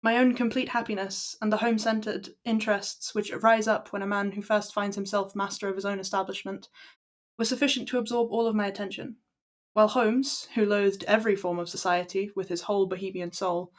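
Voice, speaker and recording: A young Irish woman with a croaky voice, chatting casually to her stream and then reading a classic story aloud in a steady, measured way. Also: mid-range voice. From Ireland. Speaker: livestream book reader.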